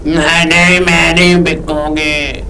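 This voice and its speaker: Slow, creaky-voiced young cow. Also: male voice, a little high, little pitch movement, sharp tone, exaggerated voice. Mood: whiny protesting. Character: young vain female cow puppet, fretful and protesting. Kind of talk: comic children's puppet show. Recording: distant miking with faint noise.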